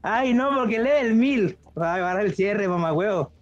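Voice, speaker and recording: A man's voice: high voice, animated, moderate pace, dry recording, soft background music. A man talking casually in slang over a gaming voice chat. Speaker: player in a gaming group. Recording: dull, dry voice-chat audio.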